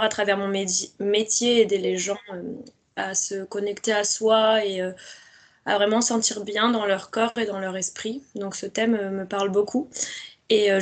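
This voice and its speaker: A woman speaking as a guest in a casual, reflective conversation, like a wellness coach. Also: medium pitch.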